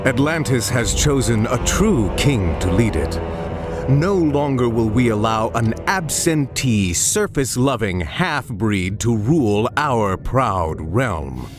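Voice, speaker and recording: A man's voice: mid-range voice, sing-song, slow. A man voicing a scornful, ruthless Atlantean villain in a video game, with menacing, contemptuous delivery. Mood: cold contempt. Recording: very loud game music behind.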